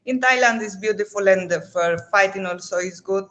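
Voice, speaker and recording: A woman's voice: mid-range voice, fairly bright tone. Woman guest on video call, English, hesitant phrasing, casual interview. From Greece. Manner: halting, simple English with pauses. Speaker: guest fighter.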